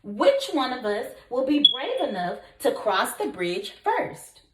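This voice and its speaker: A woman teacher reading a story aloud to young children in an expressive, deliberate way, prompting them with questions. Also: high voice, slight background noise. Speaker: kindergarten teacher. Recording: echoey room.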